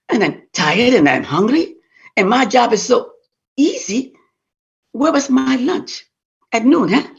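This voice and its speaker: A woman's voice: high-pitched, vocal fry, full character voice. A woman voicing a hard-working farm wife who scolds her husband, in a tart, scolding voice. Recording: dull lo-fi recording.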